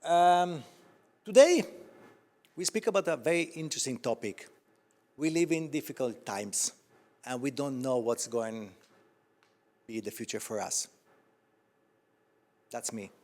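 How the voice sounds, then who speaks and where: A middle-aged man with an Italian accent introducing himself informally to open a panel as its moderator, speaking haltingly. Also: medium pitch, darkish tone. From Italy. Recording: echoey hall with long reverb.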